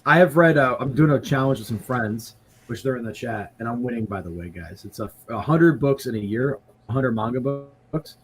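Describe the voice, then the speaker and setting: A man in his twenties hosting a casual chat, excitable and quick, swearing freely. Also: medium pitch, slight background noise. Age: 20s. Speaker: podcast host.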